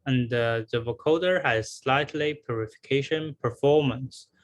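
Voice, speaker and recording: A man presents a research paper in a slow, measured delivery at a medium pitch. He sounds like he is following prepared material, moving haltingly with pauses and repeated words. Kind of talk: scripted academic presentation.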